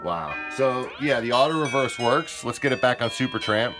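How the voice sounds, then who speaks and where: A man's voice: mid-range voice, lively intonation, normal speed. A man talking casually and matter-of-factly as he gives an unscripted product demonstration. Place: indoors, off camera beside a tape deck. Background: music.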